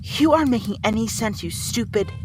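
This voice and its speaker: A woman's voice: on the high side, animated, a little fast, lightly acted. A woman playing a small, defiant rat princess warrior in an audio roleplay, brash and threatening but easily flustered. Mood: urgent, exasperated. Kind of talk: dramatic audio roleplay acting. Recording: music behind the voice.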